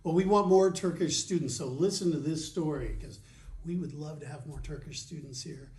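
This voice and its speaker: A man hosting a casual interview podcast, chatty and upbeat, asking quick questions. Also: fairly high pitch, darkish tone, reverberant. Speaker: podcast host.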